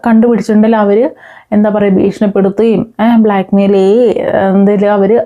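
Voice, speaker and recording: A woman with a Kerala accent hosts informal news commentary, talking to viewers in a conversational, hesitant way with frequent pauses. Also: medium pitch, level tone, narrow, tinny audio. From Kerala, India. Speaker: online news commentary host.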